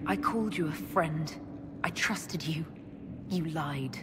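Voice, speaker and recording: Composed earnest leader in a game cutscene, bit low, direct, noisy background. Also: female voice, slow. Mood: hurt, accusing. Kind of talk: dramatic video game acting. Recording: game capture with clear background noise.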